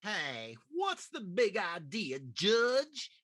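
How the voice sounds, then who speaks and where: A woman's voice: mid-range voice, big pitch swings, very slow, a bit bright, no room sound, studio quality, exaggerated voice. A woman playing a gloating, lovestruck male butcher with exaggerated, boastful delivery in an old-time radio farce. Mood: indignant.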